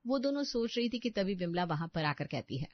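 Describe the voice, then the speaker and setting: A woman reads a scripted story as its narrator. Her voice sits at a middle pitch. The recording is very dry and slightly dull. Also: big pitch swings, brisk, dry recording.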